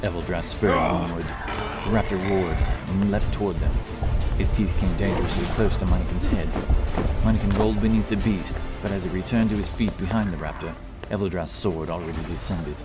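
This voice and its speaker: A man narrating a fantasy story in a steady, scripted style. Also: deep voice, brisk. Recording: narration over loud music.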